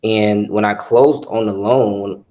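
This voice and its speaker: Low, flat-voiced man from Chicago. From South Side of Chicago. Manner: measured, repeats words as he talks. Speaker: real estate investor guest. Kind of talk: casual podcast interview.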